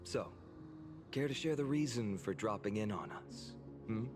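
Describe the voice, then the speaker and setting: An actor playing a cautious, earnest young male warrior in a video game, speaking in a polite, measured way. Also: on the low side, expressive. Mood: wary, firm. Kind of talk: scripted video game character acting. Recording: game music behind the voice. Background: game music.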